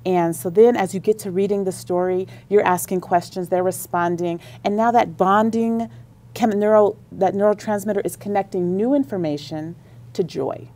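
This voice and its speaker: A woman's voice: medium pitch, fairly even intonation, moderate pace, warm tone. A woman on a radio interview, explaining at length with enthusiasm about library services. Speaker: school district library leader.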